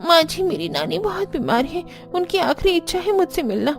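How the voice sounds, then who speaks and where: A woman's voice: very high voice, very animated, brisk, exaggerated voice. A woman voicing a meek, dramatic young daughter-in-law pleading in a cartoon. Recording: background music.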